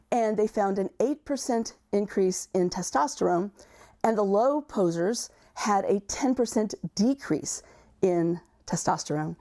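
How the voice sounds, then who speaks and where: A middle-aged woman coaching job seekers on a livestream, her voice raspy and gravelly after an illness. Her tone is dark and mellow, and she talks conversationally, often restarting and repeating words as she gathers her thoughts. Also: mid-range voice. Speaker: career coach. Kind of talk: livestream advice talk.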